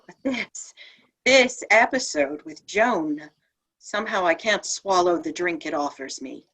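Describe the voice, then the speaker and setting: A woman's voice: on the low side, lively intonation, unhurried, a bit bright, breathy edge, full character voice, low-quality recording. A woman playing an outspoken, indignant saint in a play reading, emphatic and challenging in her delivery. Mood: troubled. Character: outspoken, indignant female saint doubting heaven's plan. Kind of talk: virtual table read of a stage play.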